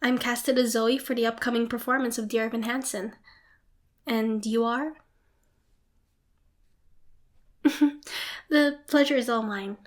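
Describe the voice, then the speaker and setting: Woman acting a shy, high-voiced theatre student girl. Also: fairly even intonation, moderate pace, close to an everyday voice. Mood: shy, polite. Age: young adult. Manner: hesitant, flustered, apologetic. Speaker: amateur voice actor. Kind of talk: ASMR roleplay acting.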